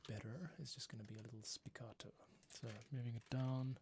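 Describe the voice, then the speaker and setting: A man's voice: medium pitch, fairly even intonation, brisk, darkish tone, slight background noise. A man thinking aloud as he works through composing on a livestream, muttering to himself, with music playing and computer keys clicking. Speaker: composer streaming his process. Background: orchestral music playback, computer keys clicking.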